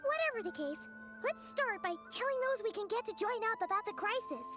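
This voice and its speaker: A woman voicing a small, plucky childlike fairy companion in a video game, with a squeaky, chirpy voice. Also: very animated, brisk. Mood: determined. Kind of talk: game voice acting. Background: game music.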